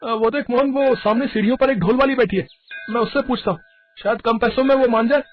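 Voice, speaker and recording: Guy doing super high voiced poor friendly lad, polite, hesitant, muffled story audio. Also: fast talker, faint music behind. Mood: eager. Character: friendly, humble poor young man helping his friend's wedding.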